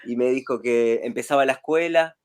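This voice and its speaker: Relaxed Argentine man chatting on a call. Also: medium pitch. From Rosario, Argentina. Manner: relaxed, chuckles often, rambling. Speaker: guest, street clown. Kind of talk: casual video call interview. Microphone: video call.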